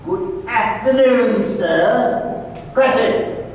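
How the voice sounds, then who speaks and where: Guy acting as a grumpy old miser, curt, high voice, radio drama, super echoey with some hiss. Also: very slow, exaggerated voice. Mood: cold, dismissive. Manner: curt, grudging, dismissive. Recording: distant echoey hall, noticeable hiss.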